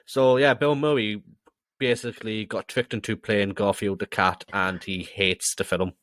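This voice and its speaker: Young male, low voice, halting and giggly storyteller, dry recording. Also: fairly even intonation, fairly bright tone, no room sound. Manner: hesitant, many ums, giggly. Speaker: podcast co-host. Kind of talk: casual podcast storytelling.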